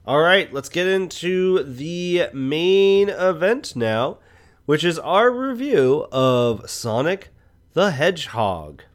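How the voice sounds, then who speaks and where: A man presenting a film review podcast in a measured, explanatory way, partly from notes. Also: a little high, very animated. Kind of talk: semi-scripted podcast presenting.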